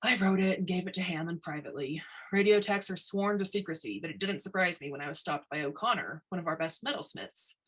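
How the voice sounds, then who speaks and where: A woman's voice: fairly low pitch, expressive, fast talker, fairly bright tone, husky, rough recording. A woman reading a short story aloud as a narrator. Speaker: reader of short stories.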